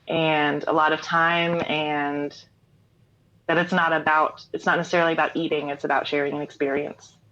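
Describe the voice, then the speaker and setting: Woman, low voice, chatty and rambling, muffled lo-fi audio. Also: fairly bright tone. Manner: chatty, rambling with fillers and laughs. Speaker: interview guest, singer-songwriter. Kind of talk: casual interview chat.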